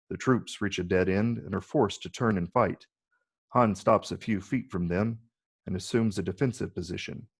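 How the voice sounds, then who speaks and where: Man, lowish pitch, gravelly, husky, measured narration of script stage directions. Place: virtual read, recorded remotely.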